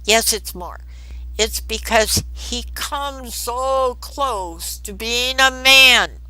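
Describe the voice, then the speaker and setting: A pompous male intellectual as a woman narrator plays him holds forth in a storybook reading. The delivery is slow and bright, halting and theatrical as he muses and flirts. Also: a little high, no room sound, voice pushed up, full character voice, rough recording. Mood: musing, halting. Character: rambling, musing, flirtatious man, pompous intellectual.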